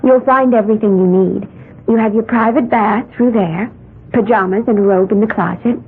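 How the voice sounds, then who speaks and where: An actress playing a dreamy, eccentric young woman in an old radio drama, musing earnestly and gently. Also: mid-range voice, normal speed, phone-quality sound, natural voice. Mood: matter-of-fact, gentle. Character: dreamy, eccentric young woman, self-styled priestess of the elements. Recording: thin, band-limited old radio recording with hiss.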